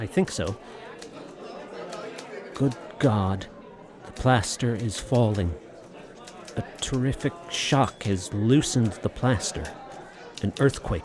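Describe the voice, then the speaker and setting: Haunted learned man, radio drama, low voice, very slow, grave, dramatic, background murmur. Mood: reading, dread.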